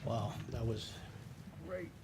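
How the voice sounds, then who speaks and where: A man giving a speech at a military ceremony, halting and nervous, with many fillers. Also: mid-range voice, expressive, heavy background noise. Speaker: guest speaker.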